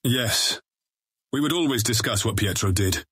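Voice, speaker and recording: A man's voice: lowish voice, expressive, normal speed, a bit bright, husky, no echo, clean studio sound. A man playing a grave, terse ranger in a fantasy audiobook drama, speaking in clipped, formal phrases. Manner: clipped, solemn, formal speech.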